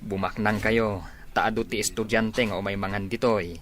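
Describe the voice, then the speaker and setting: A man's voice: on the low side, steady tone, normal speed, close to an everyday voice. A man playing an inquisitive, chummy young friend in an Ilocano comedy radio drama.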